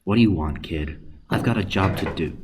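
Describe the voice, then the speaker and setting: A man playing a gruff, scheming worker at a secret facility in a radio play, with a grudging, muttering manner. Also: sits low, a little fast, background noise, close to an everyday voice. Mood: annoyed.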